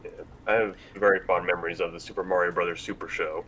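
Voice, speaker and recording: A man's voice: low voice, flat delivery, quick pace, a bit bright, rough recording. A man hosting a casual panel discussion in a rambling, self-deprecating way. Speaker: panel host. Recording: faint background noise.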